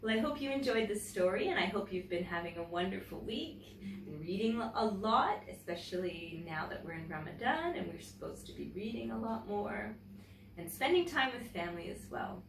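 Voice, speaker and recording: A woman teacher reading a picture book aloud to children in an expressive storytelling manner, pausing to comment and ask questions. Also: mid-range voice. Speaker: teacher and story-time host. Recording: roomy, distant mic, faint background noise.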